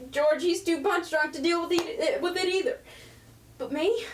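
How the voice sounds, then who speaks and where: A high, youthful voice in a roomy, slightly distant recording. It is a headstrong teenage eldest sister in a radio drama, acted by a woman, bossy and protective of the younger ones. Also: normal speed. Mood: hurt, defiant. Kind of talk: radio play acting. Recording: roomy, distant mic, faint hiss.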